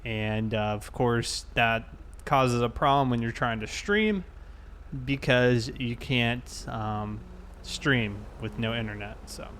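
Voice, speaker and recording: Guy doing casual game stream commentary, kinda halting, medium voice, bit of noise behind him. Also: medium pitch, steady tone, a bit bright. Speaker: game livestreamer. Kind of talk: casual livestream commentary of a police roleplay game.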